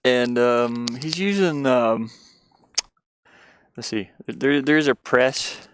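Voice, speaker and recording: A man chatting casually on a livestream, rambling and halting, often putting down his own efforts. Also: medium pitch, a bit bright, clear, resonant tone, dry recording. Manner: halting, rambling, self-deprecating. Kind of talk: casual livestream chat while sketching.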